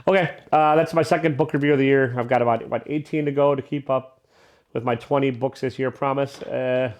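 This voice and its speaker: A man's voice: medium pitch, fairly even intonation, brisk. A man giving a casual, unscripted review as a vlogger, rambling along in an irreverent, self-deprecating way.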